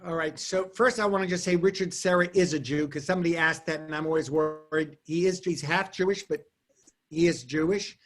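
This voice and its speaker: A man whose first language is German, telling stories and answering questions on a video call, quick and digressive with frequent restarts. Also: a little high, fairly even intonation. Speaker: guest artist and lecturer. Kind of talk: answering questions in an online talk.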